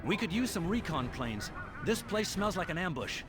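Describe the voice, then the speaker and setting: An actor playing a brisk army officer reporting to his general in a military video game. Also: sits high, big pitch swings, loud music behind the voice. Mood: wary.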